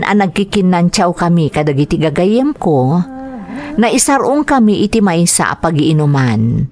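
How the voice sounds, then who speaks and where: Low-voiced woman narrating a story. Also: darkish tone. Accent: Ilocano. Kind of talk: scripted first-person story narration.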